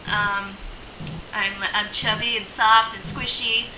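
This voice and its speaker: A young woman with a fairly high voice talking casually to camera, chatty and full of ums, likes and laughs. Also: level tone, takes it slowly. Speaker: video blogger. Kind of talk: casual spoken reflection. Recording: lo-fi roomy home recording with hiss.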